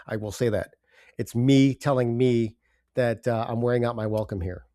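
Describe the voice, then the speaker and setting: Older male podcast host with a head cold, stuffy and low-key, dark mellow tone, dry close sound. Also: fairly even intonation, no room sound. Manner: halting, subdued, with pauses and fillers. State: congested from a cold. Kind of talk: personal confessional monologue.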